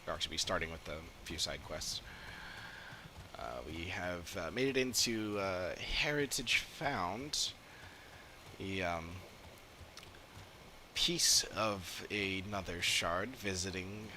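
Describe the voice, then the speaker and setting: Halting, low-voiced male game streamer. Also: pushed and bright, a little hiss or hum. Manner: halting, searching for words. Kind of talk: casual livestream commentary. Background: game music.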